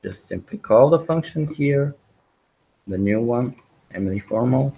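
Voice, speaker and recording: A man teaching a programming webinar, walking through live code with many pauses, ums and restarts. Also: mid-range voice, animated, vocal fry, mellow, slight background noise, low-quality recording. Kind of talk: live coding walkthrough.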